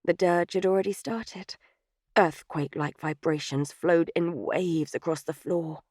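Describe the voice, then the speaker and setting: Female audiobook narrator: slightly low voice, scripted storytelling, close and dry studio sound. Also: steady tone, unhurried, darkish tone, dry recording. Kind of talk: scripted third-person fiction narration.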